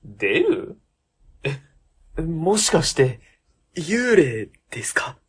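A man playing an earnest, polite young rookie civil servant in a comedic radio drama, quick to react. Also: on the high side, big pitch swings, breathy edge, exaggerated voice. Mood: puzzled. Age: young adult.

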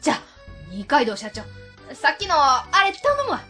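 A woman's voice: high voice, wildly swooping pitch, normal speed, bright, forceful tone, soft background music, heavily acted. A woman playing a chummy, pushy middle-aged cleaning lady with a Kansai accent in a radio drama. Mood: breezy, eager. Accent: Kansai Japanese. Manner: breezy, familiar, eager.

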